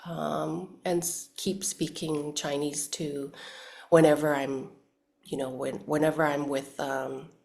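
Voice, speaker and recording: A woman's voice: deep voice, level tone, slow, vocal fry, a little mellow. A woman from Hong Kong, speaking thoughtfully as an interview guest, with many restarts and fillers. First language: Cantonese. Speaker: actress guest. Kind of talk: casual interview chat.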